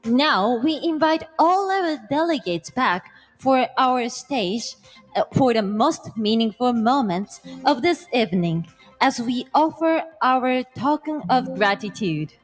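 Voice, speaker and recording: A woman's voice: on the high side, lively intonation, slow, faint music behind, poor audio. A woman co-hosting a live pageant, announcing formally in non-native English. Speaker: pageant co-host. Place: large arena stage.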